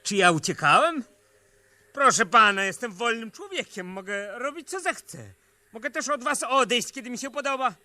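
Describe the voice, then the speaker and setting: Evasive indignant fugitive, very high voice, piercingly bright, defensive, melodramatic, vintage radio play, dry studio sound. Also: male voice, expressive, moderate pace, no echo, full character voice. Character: agitated, evasive, indignant man on the run. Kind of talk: 1970s Polish radio play acting.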